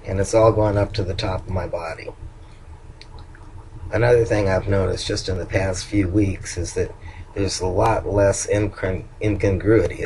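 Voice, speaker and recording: An older man talking in a very deep voice that turns a little nasal at times and sounds hoarse. He speaks haltingly, with many ums, pauses and restarts. A faint room ambience sits behind him. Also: a little mellow, a little hiss or hum, rough recording. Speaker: vlogger. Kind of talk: casual personal video update.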